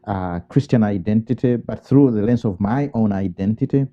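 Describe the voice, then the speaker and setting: A man from Uganda, a theologian and priest giving thoughtful interview answers, pausing and restarting and asking himself rhetorical questions. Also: on the low side. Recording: muffled lo-fi call audio.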